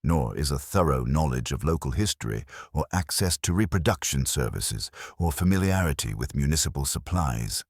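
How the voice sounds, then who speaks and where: A man voicing a shrewd, courteous police inspector in an audiobook mystery, conversational, gentle, formal and unhurried. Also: very deep voice, animated, husky, no room sound, clean studio sound, heavily acted. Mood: calmly accusing. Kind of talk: audiobook mystery character reading.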